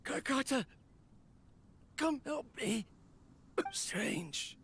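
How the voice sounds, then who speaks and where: An actor playing a weary, lonely inventor in a video game, speaking in an earnest, wistful monologue over noise. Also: male voice, very high voice, animated, extremely slow, vocal fry, a bit bright, exaggerated voice. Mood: failing, panicked. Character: weary, lonely male inventor devoted to his machine companion. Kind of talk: dramatic game voice acting.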